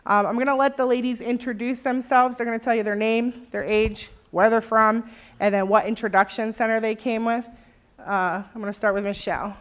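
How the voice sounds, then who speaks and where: A woman on the staff of a recovery program, giving a relaxed, informal talk to a visiting group with many filler ums. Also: a little high, brisk.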